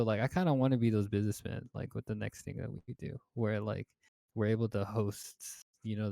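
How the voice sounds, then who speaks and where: A man in his twenties hosting a laid-back podcast, his voice low and mellow. He rambles through long stories packed with fillers and casual swearing. The sound is dry and close to the mic. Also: no room sound. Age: 20s. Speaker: podcast host.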